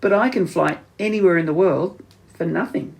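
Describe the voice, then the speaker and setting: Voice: mid-range voice, moderate pace, vocal fry, natural voice, poor audio. A man voicing a grand, self-assured, well-travelled bird in a children's storybook. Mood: grand, breezy. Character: grand, worldly African roly-poly bird, magnificent and helpful.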